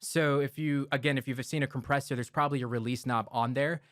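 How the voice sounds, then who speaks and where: A man's voice: mid-range voice, fast talker, no echo. Man explaining audio gear, dry close mic. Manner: relaxed, step-by-step explaining with filler words. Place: home studio. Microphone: close broadcast dynamic mic.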